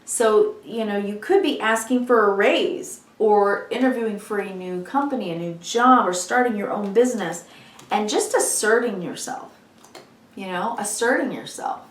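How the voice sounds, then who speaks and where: Female tarot vlogger, warm and chatty, slightly hoarse, mid-pitched, recorded in an echoey space a few feet from the mic. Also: normal speed. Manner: chatty and encouraging, many "you know"s. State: losing her voice, slightly hoarse. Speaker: tarot reader. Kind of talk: weekly tarot reading to camera. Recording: echoey room, mic at a distance.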